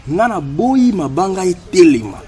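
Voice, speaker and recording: A man hosting a gospel web show, interviewing a singer in French mixed with Lingala, with many pauses. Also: high-pitched, big pitch swings, a loud crowd. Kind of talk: casual interview.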